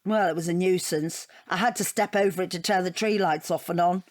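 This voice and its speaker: Wry, low-voiced British divorcee played by a woman. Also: fairly even intonation, fairly quick, no room sound, clean studio sound, natural voice. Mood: wry, reminiscing. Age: middle-aged. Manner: rambling, self-justifying, wry. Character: chatty, defensive middle-aged divorcee discussing her own portrait. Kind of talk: audio comedy drama acting.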